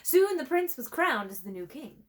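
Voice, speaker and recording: A woman reading a storybook aloud in an expressive, dramatic way, letting her phrases drop into a hush. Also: sits high, moderate pace. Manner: dramatic, with hushed phrase endings. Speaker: storybook reader. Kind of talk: expressive read-aloud narration.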